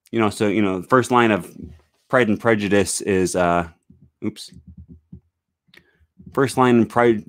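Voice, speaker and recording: A man's voice: on the low side, no echo, studio quality. A young man giving a loose, rambling live-stream talk on language learning, full of "um" and "uh", into a desk microphone in a small home room. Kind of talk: casual live-stream talk with screen sharing. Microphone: large studio microphone on a desk stand.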